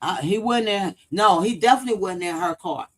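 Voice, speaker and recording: A man's voice: sits high, a little fast, fairly bright tone. A man giving casual, blunt livestream commentary, swearing freely and repeating points for emphasis. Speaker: true-crime commentary livestream host.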